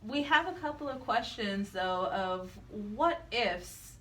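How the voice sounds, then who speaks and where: A woman with a medium-pitched voice co-hosts a talk radio show. She talks in a conversational hosting style, as in a studio interview. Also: animated, takes it slowly, slight background noise, low-quality recording.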